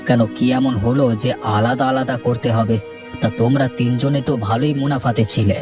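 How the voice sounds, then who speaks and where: A man's voice: medium pitch, fairly even intonation, fairly quick, over music, close to an everyday voice. A man voicing a stern, businesslike village headman in a cartoon, with a commanding, questioning way of talking. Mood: concerned, commanding. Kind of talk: cartoon voice acting.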